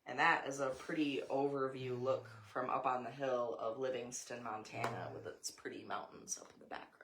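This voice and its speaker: Low-pitched American woman reading a picture book. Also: fairly even intonation, normal speed, a bit bright, a little hiss or hum, low-quality recording. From United States. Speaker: storybook reader, mother. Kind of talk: picture-book read-aloud with informal asides to a child.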